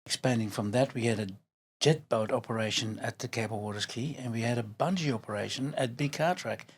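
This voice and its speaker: Belgian-accented older man, chatty and animated. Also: mid-range voice, fairly quick, slightly rough. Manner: chatty, repeats words as he searches, laughs easily. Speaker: podcast guest. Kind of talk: casual interview chat.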